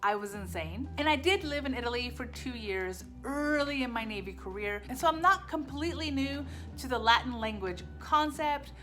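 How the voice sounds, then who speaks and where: A woman with a slightly higher voice vlogs casually, frank and self-mocking, often repeating short phrases for emphasis. Her first language was Japanese. Soft music plays underneath. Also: lively intonation, moderate pace. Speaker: vlogger. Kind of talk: casual vlog reflection.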